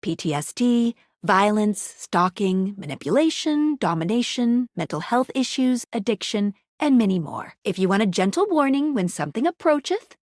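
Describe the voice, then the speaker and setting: A woman's voice: mid-range voice, lively intonation, normal speed, few pauses, a little mellow, dry recording, studio quality, natural voice. A woman playing a perky, slangy, helpful game guide with a chirpy, playful delivery. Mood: playful, reassuring. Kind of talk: video game character acting.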